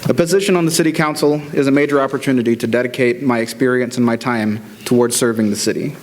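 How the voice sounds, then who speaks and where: A man's voice: medium pitch, quick pace, a little hiss or hum. A man speaking as a council candidate, giving a formal prepared statement at a forum.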